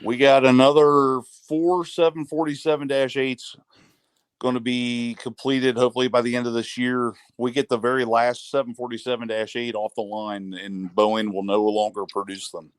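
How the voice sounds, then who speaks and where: A man joining a casual podcast chat as a guest, talking in a quick, matter-of-fact way. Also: mid-range voice, flat delivery, clear, resonant tone, no echo.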